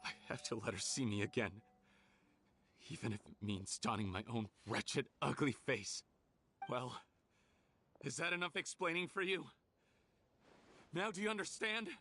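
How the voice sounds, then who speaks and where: A man's voice: medium pitch, expressive, moderate pace, long pauses, pushed and bright, a little hiss or hum. A man playing a bitter, self-justifying researcher turned criminal in a dramatic, indignant game monologue. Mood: defiant.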